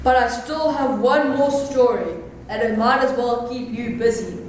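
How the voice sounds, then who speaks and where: A villainous male inventor, acted by a woman in amateur readers theatre, boasting and taunting in a high voice. The sound is distant and very echoey, with faint noise. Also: fairly even intonation, a little slow, close to an everyday voice. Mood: smug. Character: gloating villainous male inventor, Doctor Spellbinder.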